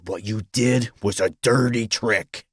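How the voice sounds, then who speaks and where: A man voicing a bitter, defeated businessman, resentful and accusing, in a gravelly, husky voice for an audio story. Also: on the low side, a little slow, no room sound, studio quality, pitched above the performer's own voice.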